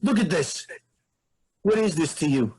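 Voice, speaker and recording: A man's voice: fairly high pitch, lively intonation, unhurried, darkish tone, slightly rough, exaggerated voice. A man acting a nervous but defiant clone in a staged play reading, questioning and taunting his creator.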